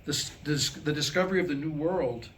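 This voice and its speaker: A man with a slightly higher-pitched voice reads a comic script aloud to a live room. He tells history in a wry, joking way, posing rhetorical questions. There is light noise on the recording. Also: brisk. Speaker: writer reading a comedic script aloud. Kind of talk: staged reading mixing comic monologue and history storytelling. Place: indoor staged reading with a few listeners reacting.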